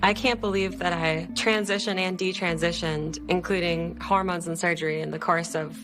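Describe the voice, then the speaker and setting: A woman's voice: lowish voice, steady tone, normal speed, over music, low-quality recording. A woman giving earnest, reflective personal testimony in an interview.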